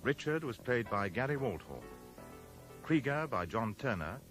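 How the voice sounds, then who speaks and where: Male radio announcer, lively inflection over music. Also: medium pitch, measured pace. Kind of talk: formal scripted cast credits.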